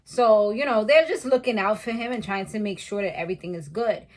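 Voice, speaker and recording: A woman's voice: mid-range voice, fairly quick. A woman in her thirties casually recapping a reality show, chatty and slangy, laughing at her own asides. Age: 30s.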